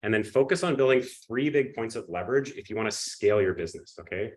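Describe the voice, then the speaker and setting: A man with a cold, sniffling and coughing, giving an energetic live webinar pitch and often checking in with his audience. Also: fast talker. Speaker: webinar presenter.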